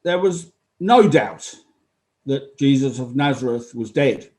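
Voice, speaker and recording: A man playing a sober, earnest Roman army officer giving halting, measured testimony in a dramatized inquest. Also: mid-range voice, animated, a little mellow. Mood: factual, solemn. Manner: halting, measured, solemn witness delivery.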